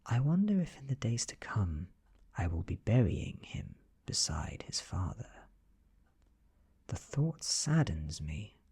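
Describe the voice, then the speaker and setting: A British man reading a story in a soft-spoken, hushed and breathy ASMR style, measured and deliberate. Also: on the low side, big pitch swings. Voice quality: breathy, whispery. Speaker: ASMR narrator reading an audiobook.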